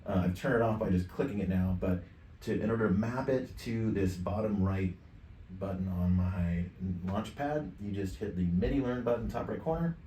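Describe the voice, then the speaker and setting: Man, 50s, low voice, offhand tutorial host thinking aloud; roomy, slightly distant mic. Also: normal speed, mellow, a little hiss or hum. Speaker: tutorial presenter. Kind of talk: casual screen-share software tutorial. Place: indoor home studio desk.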